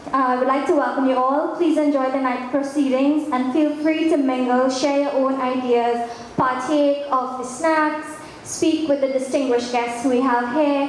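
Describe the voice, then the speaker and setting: A woman giving a welcome address as a representative at a public event. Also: high voice. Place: large echoey room at a public event. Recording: very echoey hall, faint background noise.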